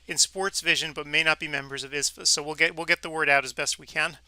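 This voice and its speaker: A man interviews in a casual, conversational way. His voice is very bright and crisp, slightly high for a man, and he talks quickly. The sound is close and dry. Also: fairly even intonation, no echo. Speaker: interviewer, host.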